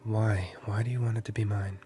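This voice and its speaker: Guy acting wary secret lover in an audio drama, terse and low-key, barely moves his pitch. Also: little pitch movement, brisk, dark tone, faint music behind, close to an everyday voice. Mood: guarded, intimate. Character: guarded, wary man in a secret affair.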